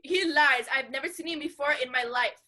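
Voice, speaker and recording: A woman's voice: sits high, brisk, pushed and bright. A woman playing a defiant, accusing male police inspector, a villain in a 1940s-style radio play, curt and scornful.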